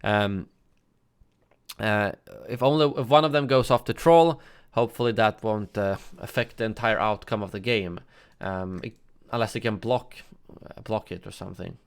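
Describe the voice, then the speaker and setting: A man's voice: lowish voice, normal speed, creaky, clear, resonant tone. A man hosting a gaming news livestream, chatting casually and answering viewers' chat with frequent fillers and self-corrections.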